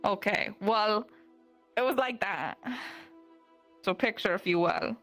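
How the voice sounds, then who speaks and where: A woman's voice: medium pitch, expressive, slow, a bit bright, soft background music. A woman roleplaying a flighty, carefree young woman in a fantasy game, talking in a casual, rambling way. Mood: animated storytelling. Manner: rambling, giggly, trailing hesitant phrasing.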